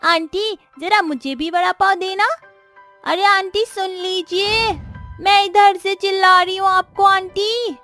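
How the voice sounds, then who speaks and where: A woman's voice: extremely high pitch, steady tone, slow, faint music behind, heavily acted. A woman voicing an insistent young girl passenger calling for attention in a moral story. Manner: shouting for attention.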